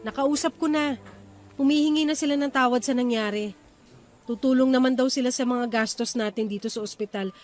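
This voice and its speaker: An actress playing a caring, steady wife and mother in a Filipino radio drama, reassuring and reasoning. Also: high voice, brisk, soft background music, lightly acted. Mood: calming, pleading.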